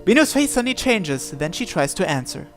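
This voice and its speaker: A man with a high-pitched voice narrates a visual novel, reading the story text aloud. Game music plays behind him. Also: sing-song, fairly quick.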